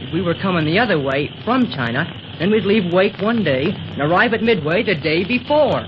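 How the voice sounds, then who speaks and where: Male actor as excited teen boy, high voice, gee-whiz old radio serial, noisy. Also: fairly quick. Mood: eager. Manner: boyish, enthusiastic. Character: eager, cheerful fifteen-year-old boy adventurer. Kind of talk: 1930s radio serial acting. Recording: noisy muffled 1930s radio recording.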